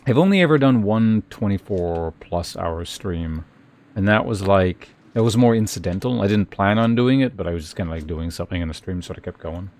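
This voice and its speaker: A man with a fairly low voice talks through a game for a live stream. He muses casually and hesitantly, taking his time between thoughts and sometimes reading out on-screen text. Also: expressive, brisk, a little mellow.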